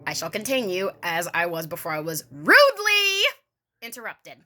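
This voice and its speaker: A woman's voice: on the low side, huge pitch swings, moderate pace, sharp tone, slight background noise. A woman doing a mock-formal dramatic reading with casual, sarcastic, sweary asides in a theatrical manner. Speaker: online video creator doing a dramatic reading.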